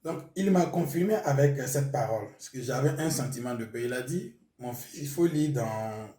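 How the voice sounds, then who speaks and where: A man's voice: mid-range voice, animated, normal speed, warm tone, reverberant, distant mic. A man from Burkina Faso whose first language is Mooré, a pastor giving his testimony in a hesitant way with many fillers. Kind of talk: testimony interview.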